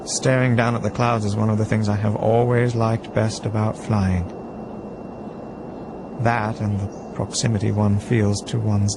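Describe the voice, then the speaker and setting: A man playing a weary, brooding first-person narrator, telling a story in a measured, reflective way. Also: on the low side, gravelly, noisy background. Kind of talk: scripted story narration, hardboiled. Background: music.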